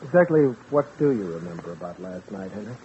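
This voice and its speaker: A man's voice: low-pitched, big pitch swings, fast, a little mellow, a little hiss or hum. An actor playing a guilty man who feigns loyal innocence, guarded and placating, in a 1940s radio drama. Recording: old radio recording, narrow band.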